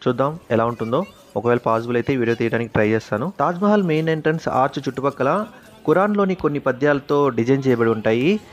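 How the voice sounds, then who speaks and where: A man's voice: medium pitch, quick pace. A young man with a Telugu accent narrating a travel vlog in an informative way, stopping often on "aa" fillers and mixing in English words. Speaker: travel vlogger.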